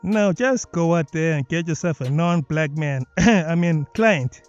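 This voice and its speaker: A South African man picks apart clips in a mocking, sardonic tone. His voice sits a bit high for a man. The recording is dead dry and slightly dull, with faint music under it. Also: lively intonation, a little slow, clear, resonant tone. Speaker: commentary video host. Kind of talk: sarcastic reaction commentary.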